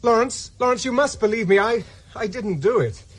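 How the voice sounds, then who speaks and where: A man's voice: very high-pitched, moderate pace, a bit bright, husky, full character voice. An actor playing a distraught, hunted young Englishman in a radio drama, urgent and stammering. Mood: panicked, pleading. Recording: faint noise and ambience.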